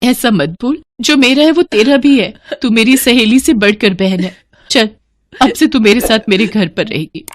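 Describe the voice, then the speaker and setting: A woman's voice: on the high side, lively intonation, normal speed, lightly acted. A woman voicing a cheerful, teasing and caring young woman in a cartoon story, chatty and affectionate. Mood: consoling. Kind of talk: Hindi cartoon story dialogue.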